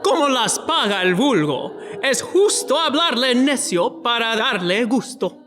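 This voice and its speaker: A woman reading quotations theatrically, in a deliberate, declaiming manner. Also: on the high side, very animated, a bit bright, soft background music.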